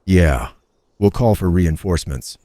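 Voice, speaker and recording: Man playing a low-voiced, composed FBI investigator. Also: unhurried, dark tone, no echo. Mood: matter-of-fact. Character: composed, dry male FBI investigator, measured and authoritative. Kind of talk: animated noir satire voice acting.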